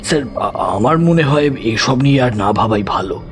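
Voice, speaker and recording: An actor playing a deferential, superstitious village servant, humble and respectful, in a horror audio drama. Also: male voice, mid-range voice, lively intonation, normal speed, close to an everyday voice. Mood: earnest, ominous. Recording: loud music over the voice.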